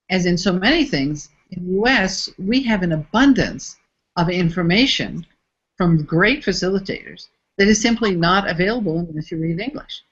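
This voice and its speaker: A woman's voice: on the low side, husky, highs cut off. A woman from the United States, a facilitator being interviewed, speaking thoughtfully and searching for words as she goes. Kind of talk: reflective interview talk.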